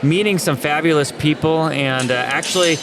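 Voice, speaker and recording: A man's voice: a little high, moderate pace, over music, rough recording. A middle-aged man hosting a podcast in a casual, jokey, bantering way. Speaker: podcast host. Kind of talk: casual chat. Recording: crowded convention floor, chatter behind.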